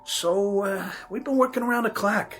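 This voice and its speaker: A man's voice: high voice, moderate pace, fairly bright tone. A working-class repairman in a radio drama. He talks in a folksy, ungrammatical way, hesitating apologetically yet holding firm. Faint music plays behind him. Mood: weary, explaining. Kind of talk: audio drama acting.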